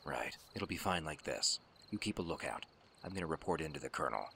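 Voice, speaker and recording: Gruff commando, very deep gravelly voice, lively intonation, stern, audio drama, noisy insect backdrop. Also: male voice, quick pace, full character voice. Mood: terse, sardonic. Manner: terse, commanding, dry sarcasm. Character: gruff, world-weary male special-forces soldier, stern and sardonic.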